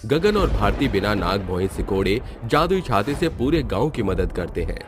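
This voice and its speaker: A man's voice: on the low side, big pitch swings, normal speed. A man telling a scripted children's story as its narrator. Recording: music behind the voice.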